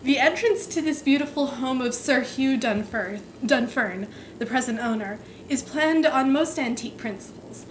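High-voiced young woman reading aloud. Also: animated, moderate pace, hardly any pauses, a little hiss or hum, rough recording. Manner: giggly, stumbles over florid sentences. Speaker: amateur reader. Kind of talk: dramatic reading of an overwrought novel. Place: indoor room at home.

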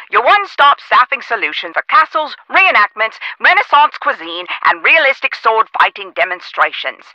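Chatty game phone operator lady, super bright n animated, muffled line. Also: fairly high pitch, no room sound, exaggerated voice. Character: perky, chatty castle staffing phone operator. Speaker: call centre operator. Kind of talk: video game phone dialogue.

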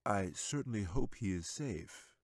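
A man voicing a sly, scheming male wizard who speaks in a slow, gloating, taunting way. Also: lowish voice, lively intonation, a little mellow, no echo, clean studio sound. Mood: mocking.

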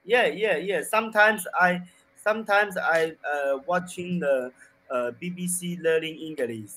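A man from China in his thirties with a Chinese accent, speaking halting beginner English into a desk mic. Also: high-pitched, pushed and bright. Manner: halting, broken English with many fillers. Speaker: English learner. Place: small room indoors. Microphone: desk mic on boom arm.

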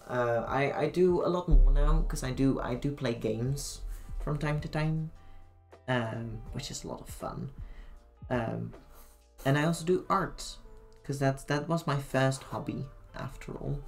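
A young woman with a Dutch accent streaming from her bedroom, thinking aloud in halting speech full of fillers as she works on a sewing pattern. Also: very deep voice, gravelly, a little mellow. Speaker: cosplay streamer. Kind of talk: casual livestream chat while pattern making. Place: small bedroom at home.

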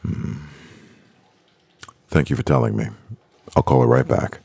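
A man acting a composed, doting CEO boyfriend in an ASMR roleplay, speaking in a measured, gravelly voice. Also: background noise, heavily acted. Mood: composed, businesslike. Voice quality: very deep, gravelly. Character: powerful male CEO boyfriend, composed, doting, gently teasing.

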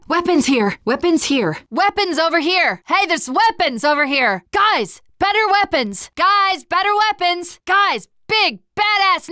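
A woman's voice: sits high, a bit bright, no room sound, studio quality, heavily acted. An actress playing a tough young woman surviving a zombie apocalypse in a video game, giving short, punchy callouts. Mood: urgent, alert.

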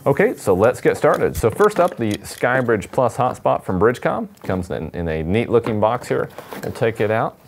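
A man's voice: mid-range voice, big pitch swings, normal speed, gravelly, slight background noise. A man, giving a casual unboxing demonstration as a product presenter. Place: indoor studio at a table.

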